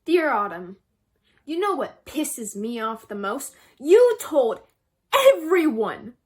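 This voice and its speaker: A woman's voice: fairly high pitch, very animated, takes it slowly, a little mellow. A young woman acting an angry, accusing teenage girl in an emphatic stage monologue. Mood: angry, hurt. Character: furious, accusing teenage girl, spurned friend. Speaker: stage actor. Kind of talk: theatrical letter monologue.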